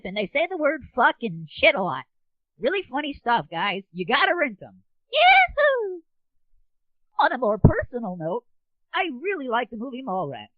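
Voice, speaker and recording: Squeaky, brash little midget movie reviewer. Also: male voice, no room sound. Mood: whooping. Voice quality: squeaky, strained throat voice. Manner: crude, slangy, exclaiming. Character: brash foul-mouthed midget movie reviewer, small and excitable. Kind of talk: comic scripted movie review. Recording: dull lo-fi 1990s internet audio.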